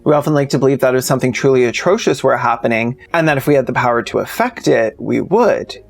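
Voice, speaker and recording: A man's voice: mid-range voice, expressive, fast, creaky, faint music behind. A man narrating a video essay as the channel's host, speaking directly to the audience.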